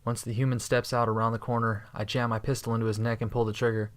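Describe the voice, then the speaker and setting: A man reading scripted first-person sci-fi narration in a steady, understated way. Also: mid-range voice, quick pace. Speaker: narrator and protagonist.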